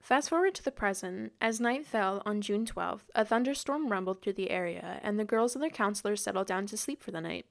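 A woman with a voice of ordinary middle pitch presents a true-crime case from a prepared script. Between the read passages she talks casually, with "like" fillers. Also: fairly quick, creaky, hardly any pauses. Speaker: podcast host and presenter.

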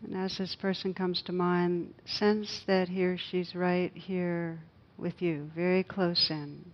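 Slow, low-voiced woman guiding meditation. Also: little pitch movement, lo-fi sound. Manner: gentle, hushed, unhurried with long pauses. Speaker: meditation teacher. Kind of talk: guided loving-kindness meditation.

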